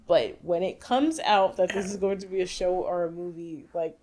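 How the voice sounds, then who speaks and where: A woman's voice: on the low side, steady tone, normal speed, a little mellow. A woman co-hosting a casual gaming podcast, explaining at length with plenty of fillers. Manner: chatty, filler-heavy, explaining. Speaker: podcast co-host. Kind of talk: casual chat.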